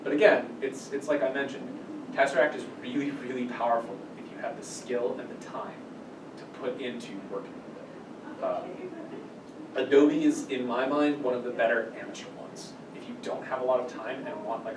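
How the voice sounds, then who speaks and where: A man leads an informal technical workshop in a medium-pitched voice, often doubling back on his phrases. He sounds distant from the microphone in an echoey room. The explanation is conversational and aimed at a small group. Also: fairly even intonation, moderate pace. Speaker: workshop presenter. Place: small indoor meeting room. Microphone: far camera microphone. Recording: distant mic in echoey room, rumbly noise.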